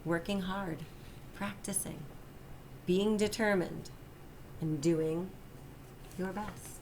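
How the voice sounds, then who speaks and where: A woman's voice: on the low side, rough recording. Woman reading a storybook over background noise. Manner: expressive, deliberate, emphasizes and repeats key lines. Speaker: teacher reading a storybook aloud. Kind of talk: children's read-aloud narration.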